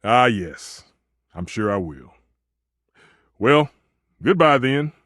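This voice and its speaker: An actor playing a proud, temperamental, food-obsessed stove chef in a video game, with pompous, flowery delivery and exasperated outbursts. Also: male voice, medium pitch, animated, slow pace, a bit bright, no room sound, studio quality. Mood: wounded, grandiose. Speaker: voice actor in a dating simulator game. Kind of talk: theatrical video game character acting.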